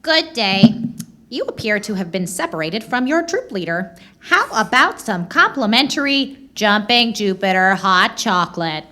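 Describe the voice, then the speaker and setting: A woman's voice: medium pitch, big pitch swings, moderate pace, bright, forceful tone. A woman playing an officious theme park service robot in a comic radio drama, with formal, stilted phrasing. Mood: polite, helpful. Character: polite, officious female theme park robot droid, turns menacing.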